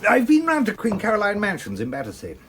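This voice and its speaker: An actor playing an earnest, methodical police detective with an English accent, reporting facts briskly in a radio drama. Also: male voice, high voice, huge pitch swings, fairly bright tone, a little hiss or hum, heavily acted. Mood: urgent, matter-of-fact. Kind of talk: BBC radio drama acting.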